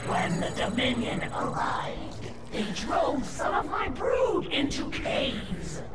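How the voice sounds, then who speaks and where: A woman voicing a devoted alien insectoid brood mother in a video game, speaking slowly and formally through an echoing monster effect. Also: high voice, huge pitch swings, heavily acted. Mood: dutiful. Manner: slow, reverent, formal. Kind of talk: scripted game voice acting. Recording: echoey, distant, loud music behind.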